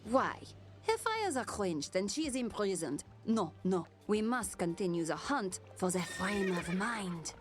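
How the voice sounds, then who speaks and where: A woman's voice: medium pitch, big pitch swings, unhurried. A woman playing a stern, theatrical quest character in a fantasy video game. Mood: urgent, commanding. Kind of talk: scripted game dialogue. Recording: game music behind the voice.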